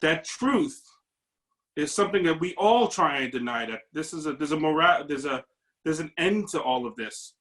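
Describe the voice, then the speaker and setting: Paramedic guy on a video call, earnest and kinda searching, a bit higher voice. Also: animated, normal speed, fairly bright tone. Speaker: paramedic audience member. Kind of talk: reflective discussion comment.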